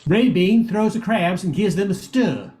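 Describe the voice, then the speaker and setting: A man's voice: sits high, lively intonation, measured pace, darkish tone. A man giving scripted narration as the narrator of a radio play. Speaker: professor and narrator.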